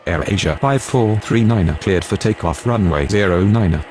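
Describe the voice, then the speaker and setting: A man playing a steady, businesslike pilot and controller in a game, using clipped radio phraseology. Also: low-pitched, normal speed, a little hiss or hum, natural voice. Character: steady, businesslike male airline pilot and air traffic controller.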